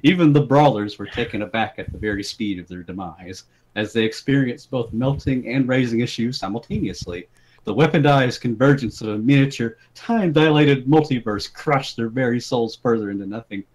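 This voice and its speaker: Male narrator, mid-pitched, theatrical dramatic reading of comic fiction. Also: brisk, darkish tone, low-quality recording. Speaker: reader of the story.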